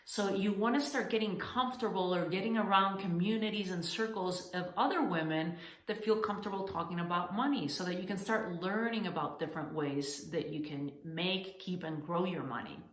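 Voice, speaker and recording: A woman from Spain talks straight to camera in an earnest, encouraging way, with a fairly low voice for a woman. Her sentences are full of small restarts and fillers as she works out what to say. The room around her is echoey. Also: normal speed. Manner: earnest, encouraging, addresses women as ladies. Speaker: founder of a coaching channel. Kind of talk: direct-to-camera advice talk.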